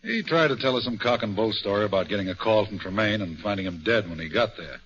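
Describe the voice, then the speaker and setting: An actor playing a brisk, confident police inspector in a 1940s radio mystery, talking in blunt, emphatic, colloquial style. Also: male voice, lowish voice, fairly bright tone, slight background noise, close to an everyday voice. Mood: confident, triumphant. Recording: muffled vintage 1940s radio recording.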